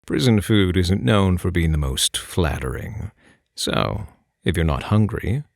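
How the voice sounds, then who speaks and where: A man playing a suave, teasing phantom thief in an intimate ASMR roleplay, soft-spoken and coaxing, speaking straight to the listener. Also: sits low, very animated, unhurried, creaky, no room sound, clean studio sound, exaggerated voice. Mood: teasing, casual. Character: suave, teasing male phantom thief posing as prison guard.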